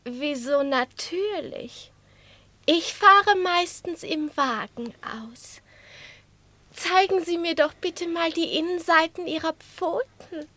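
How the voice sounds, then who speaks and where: Tiny female lapdog, coquettish, boastful, very high squeaky pitch, unhurried, dry radio play sound. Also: pushed and bright, no echo, exaggerated voice. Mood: coy, chatty. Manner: affected, boastful, coy. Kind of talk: German radio play acting.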